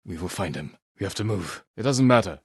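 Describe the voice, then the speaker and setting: An actor voicing a grave, determined samurai warrior in a video game, speaking in measured, solemn, terse lines. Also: male voice, sits low, animated, husky, no echo, studio quality, full character voice. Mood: grave, focused. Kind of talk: dramatic game character dialogue.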